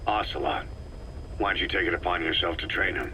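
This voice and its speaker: A wry war veteran for a game, super deep gruff voice, few words, dry, little background noise. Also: male voice, fairly quick, bright, forceful tone, poor audio. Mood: dry, skeptical. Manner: laconic, dry, understated. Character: terse, gruff, wry male veteran soldier boss. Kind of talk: video game voice acting, dialogue.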